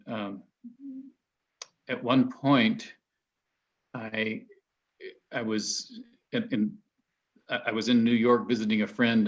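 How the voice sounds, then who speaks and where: A man from Texas, a translator speaking as a guest in a relaxed conversation. He pauses often, trails off and restarts his sentences. The sound is muffled and lo-fi. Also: lowish voice. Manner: hesitant, many restarts and ums. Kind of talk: casual panel conversation.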